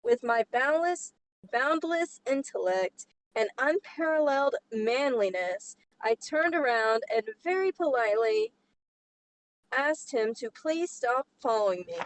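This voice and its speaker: A woman's voice: high voice, expressive, unhurried, low-quality recording. A woman reading an online story aloud as a podcast co-host, in a casual way with sarcastic asides.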